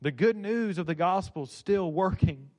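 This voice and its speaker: A middle-aged pastor preaches in a church sanctuary, his voice sitting a little high for a man. He swings between ringing, forceful lines and quiet, almost confiding ones as he makes his points. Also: expressive, normal speed. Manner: dynamic preaching, swinging between loud and soft. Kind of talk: sermon.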